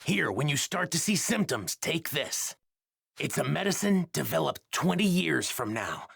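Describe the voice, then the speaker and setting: An actor playing an earnest, grave young warrior from a doomed future in an anime video game dub, speaking politely and deliberately. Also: male voice, fairly high pitch, big pitch swings, gravelly, fairly bright tone, breathy edge, no room sound, studio quality, full character voice. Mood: surprised, respectful.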